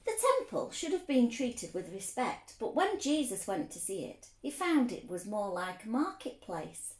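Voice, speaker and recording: A woman reading a story aloud for children, expressive, explaining things simply as a teacher would. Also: a little high, moderate pace, echoey room, poor audio.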